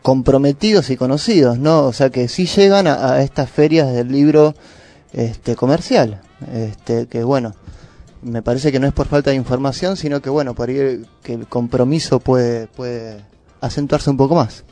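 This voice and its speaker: Mellow Argentine co-host, thoughtful. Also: male voice, mid-range voice, moderate pace, no room sound, soft background music. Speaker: radio co-host. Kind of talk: casual radio chat.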